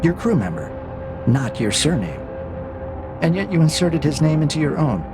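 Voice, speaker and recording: A man voicing a cold AI interrogator in a science fiction audio drama, measured and formal, needling with calm, mocking questions. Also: fairly high pitch, over music. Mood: clinical, needling.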